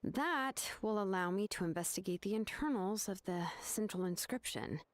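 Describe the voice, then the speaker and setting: An actress voicing a laid-back young craftswoman who explains things in a relaxed, matter-of-fact way. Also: medium pitch, moderate pace, slight background noise, lightly acted. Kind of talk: video game voice acting.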